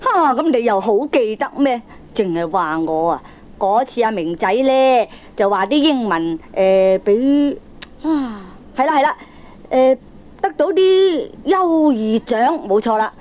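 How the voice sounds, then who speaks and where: An actress playing a sharp-tongued, indignant middle-aged wife and mother in a Cantonese comedy radio drama, with a Hong Kong accent and a nagging, scornful, combative manner. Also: on the high side, lively intonation, measured pace. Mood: scornful, scolding. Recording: dull lo-fi old cassette tape.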